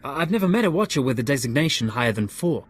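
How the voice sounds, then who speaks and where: Nervy tech analyst in a game, medium pitch, hesitant, kinda apologetic. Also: male voice, sing-song, quick pace. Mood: nervous, modest. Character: awkward, modest male surveillance analyst. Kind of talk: scripted video game dialogue.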